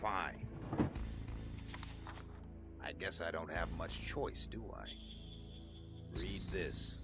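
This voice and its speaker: A man's voice: low-pitched, drawn-out words, full character voice. A man playing a pompous, irritable middle-aged general in a radio drama, blustering and evasive. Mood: guarded, grudging. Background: faint music.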